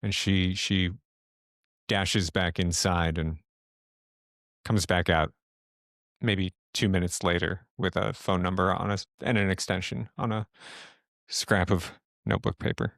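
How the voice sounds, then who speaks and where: A man's voice: low-pitched, fairly even intonation, a little slow, creaky, a bit bright, dry recording, studio quality. A man working as game master, narrating an improvised tabletop roleplaying game.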